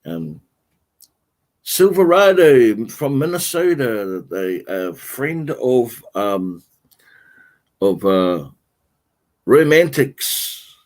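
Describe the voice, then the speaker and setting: Male livestream host, middle-range voice, slow and halting delivery as he answers comments. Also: medium pitch, sing-song. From New Zealand. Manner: answers viewer comments one by one, halting and unhurried. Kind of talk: casual livestream chat.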